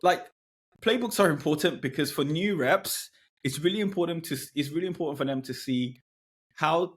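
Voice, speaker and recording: A man's voice: a little high, moderate pace, breathy edge. Blunt, opinionated man talking sales. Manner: blunt, opinionated, laughs between points. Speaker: podcast guest, sales leader. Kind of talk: casual business podcast chat.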